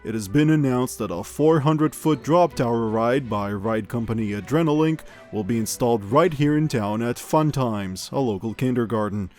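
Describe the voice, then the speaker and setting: A man's voice: medium pitch, a little mellow, natural voice. A man playing an upbeat amusement news show host, reading scripted comedy news with showy announcer polish and a straight face.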